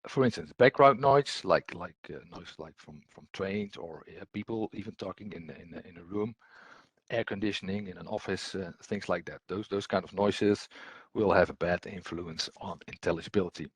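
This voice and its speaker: A man giving an explanatory technical presentation as a trainer, unscripted, in a halting, hesitant way with repeated words. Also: deep voice, husky, no room sound.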